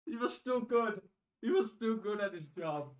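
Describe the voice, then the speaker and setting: A man's voice: high-pitched, lively intonation, normal speed. A native Dutch man speaking English as a podcast host, casual and enthusiastic.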